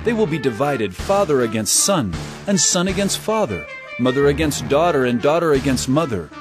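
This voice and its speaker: A man's voice: medium pitch, big pitch swings, moderate pace, over music, lightly acted. An actor playing an earnest, commanding male religious teacher in a scripture audio drama, preaching with solemn emphasis. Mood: stern, urgent.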